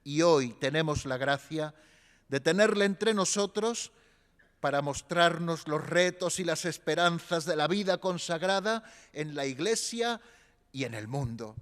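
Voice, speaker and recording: A man's voice: fairly high pitch, a little slow, fairly bright tone. A man presenting a speaker at a church event, reading a solemn, prepared introduction. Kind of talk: formal introduction. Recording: long reverb of a large hall.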